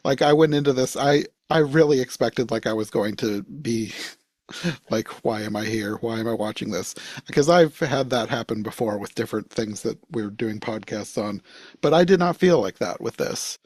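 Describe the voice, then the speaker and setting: A man's voice: mid-range voice, normal speed, darkish tone, no room sound. Guy hosting a casual chat podcast, real dry close sound, no room on it. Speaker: podcast host.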